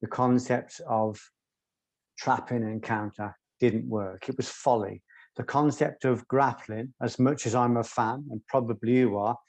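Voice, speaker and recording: Soft spoken guy, calm and articulate, dead dry sound. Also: medium pitch, no echo. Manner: measured, articulate, occasional ums. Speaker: guest, former doorman. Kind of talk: reflective interview storytelling.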